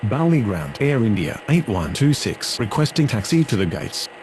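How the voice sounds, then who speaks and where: A man with lively, varied intonation makes procedural aviation radio calls. There is faint noise behind him. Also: mid-range voice, moderate pace. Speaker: pilot and air traffic controller radio voice.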